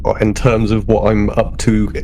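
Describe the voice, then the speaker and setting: A man with a lowish, creaky voice chats as a player in a tabletop game. He casually improvises what his character does, with some noise behind him. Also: monotone, normal speed, darkish tone.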